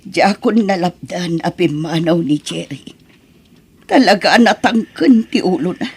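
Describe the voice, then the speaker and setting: A woman playing a worried, pleading mother in a family radio drama, with a husky, lamenting delivery. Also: on the low side, lively intonation, unhurried. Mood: distressed. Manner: anxious, lamenting.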